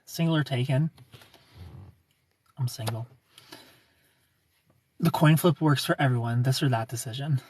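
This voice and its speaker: A man in his thirties hosting a casual livestream, reading viewer comments and greeting them by name in a smooth, laid-back way. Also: fairly high pitch, no room sound. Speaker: livestream host.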